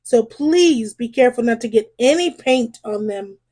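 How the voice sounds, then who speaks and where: A woman's voice: on the high side, animated, normal speed, warm tone. A woman voicing a proud, fussy male fire chief from a picture book, speaking emphatically. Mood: firm, polite.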